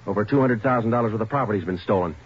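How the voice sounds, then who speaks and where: An actor playing a terse, matter-of-fact detective sergeant in a 1940s radio crime drama, with a clipped, deadpan delivery. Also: male voice, on the low side, racing, close to an everyday voice. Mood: stern. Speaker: narrator and lead actor. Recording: dull, noisy old radio recording.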